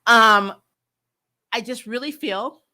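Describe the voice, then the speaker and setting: Bright-voiced woman, blunt exasperated reviewer. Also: fairly high pitch, slow pace. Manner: exasperated, blunt and sweary, laughs while ranting. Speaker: host of a TV review show. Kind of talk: live casual opinionated review chat.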